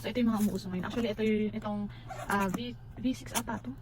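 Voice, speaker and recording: A woman's voice: medium pitch, steady tone, fast talker, noisy background. A Filipino woman vlogger chatting casually to viewers in an unboxing and review style, mixing in English informally. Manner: informal Tagalog-English code-switching.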